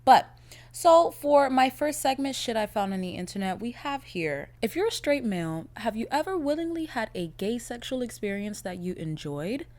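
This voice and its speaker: Profane, raspy-throated young woman podcaster. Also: a little high, normal speed. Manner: blunt, profane, animated storytelling. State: slightly raspy from a cold. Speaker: podcast host. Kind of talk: casual solo podcast chat.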